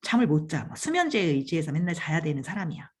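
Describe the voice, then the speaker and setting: A woman's voice: low voice, very animated, quick pace, a little mellow, breathy edge. A woman hosting her own channel, talking casually about podcasting, chatty, laughing often and trailing off mid-phrase. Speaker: channel host giving advice on podcasting. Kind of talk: casual talk to camera.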